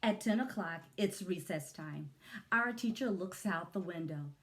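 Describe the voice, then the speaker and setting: A woman reading a picture book aloud to young children in an expressive, deliberate manner, with little asides to her listeners. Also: fairly low pitch. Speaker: children's story reader, Montessori educator.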